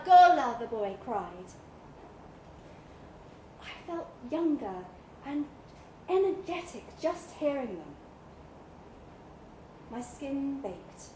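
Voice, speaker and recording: A woman reading a first-person literary short story aloud at a live event in a pub. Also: on the high side. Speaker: story reader. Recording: roomy live pub recording, clear background noise.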